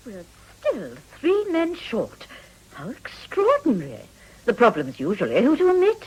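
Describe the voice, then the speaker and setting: A woman's voice: a little high, wildly swooping pitch, a little slow, darkish tone, breathy edge, exaggerated voice, low-quality recording. A middle-aged woman playing a genteel, placid society hostess, wife and mother in a period drama. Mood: placid, preoccupied.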